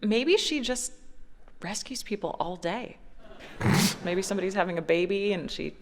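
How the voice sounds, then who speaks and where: A woman's voice: mid-range voice, expressive, moderate pace. A woman chatting casually and tentatively on stage, musing aloud. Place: large opera house stage. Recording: echoey theatre, live stage.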